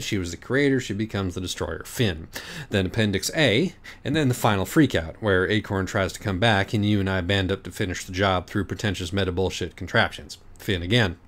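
An exasperated, scheming male writer in a storybook acted out by a man. He talks urgently and impatiently in a lowish voice, swearing freely. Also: a little fast, close to an everyday voice.

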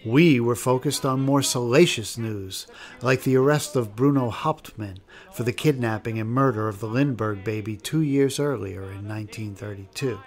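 A man's voice: mid-range voice, moderate pace, faint music behind. A man giving scripted, wry historical narration as a documentary host.

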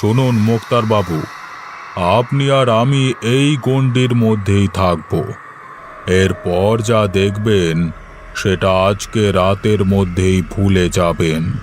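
A man plays a stern village exorcist in a horror cartoon. He speaks low and deliberately slowly, with firm command. Background noise is clearly audible. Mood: grave warning. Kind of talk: dramatized horror story dialogue.

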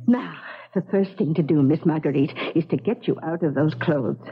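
A woman's voice: deep voice, moderate pace. A woman playing a fussy, doting older colonial maidservant in a radio drama, fretful and coaxing. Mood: fussing, tender. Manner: fretful, coaxing, gushing endearments. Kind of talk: 1970s radio drama acting. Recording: dull 1970s radio recording.

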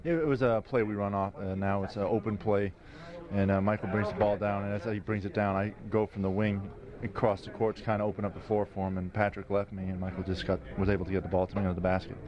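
A man's voice: lowish voice, little pitch movement, fast talker, few pauses, a crowd in the background. A man in his thirties, a basketball player, recounting a play in a post-game interview. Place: indoor locker room corridor. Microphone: handheld reporter microphone. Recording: muffled TV audio, crowded room.

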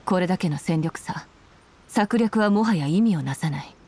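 A woman's voice: fairly low pitch, lively intonation, moderate pace, dark tone, husky. A woman playing a stern, commanding female general in an anime game, speaking with cool, formal authority. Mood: cold, menacing.

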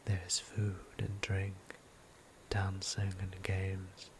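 A gentle vampire priest played by a man in an ASMR roleplay, whispering with formal, tender courtesy. His low voice stays very flat and moves slowly, with ambient sound behind it. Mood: reverent, explaining. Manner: formal, hushed, unhurried, tender, with deliberate pauses. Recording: clear ambient background noise.

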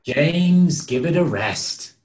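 A man's voice: on the high side, expressive, slow, a little mellow. An actor playing a reassuring, firm father in his late thirties at a stage play table read, encouraging in manner. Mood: weary, firm.

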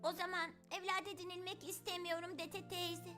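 Earnest homesick cartoon girl, very high and hesitant, over clear music. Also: level tone, normal speed. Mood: upset, pleading. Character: young orphan girl, earnest, anxious, homesick. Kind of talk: dubbed cartoon acting.

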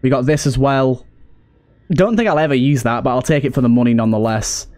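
A man's voice: mid-range voice, fairly quick, a little mellow. A man giving casual, chatty gameplay commentary as a let's play host, thinking aloud with mild understatement. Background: video game music.

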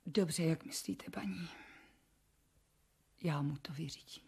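A low, breathy voice belongs to a devoted, chatty lady's maid. She coaxes her mistress gently and defers to her, often dropping to a whisper. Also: exaggerated voice. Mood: deferential. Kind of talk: radio drama acting.

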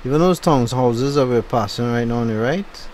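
A man with a Trinidadian accent giving a low-key, unenthusiastic running commentary while driving. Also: moderate pace, darkish tone, a little hiss or hum. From Trinidad and Tobago. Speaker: driving vlogger. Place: inside a moving car.

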